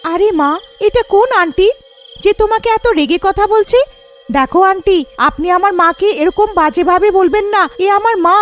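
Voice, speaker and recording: A woman's voice: very high-pitched, moderate pace, faint music behind, pitched above the performer's own voice. A woman voicing an innocent, outspoken young girl in a fairy tale, with a questioning, pleading manner. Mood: indignant.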